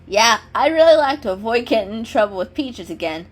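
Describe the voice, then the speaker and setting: Lady doing a cheeky troublemaker boy cat from a storybook, teasing, really bouncy pitch. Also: lively intonation, brisk. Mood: frank. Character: teasing, mischievous gray male cat.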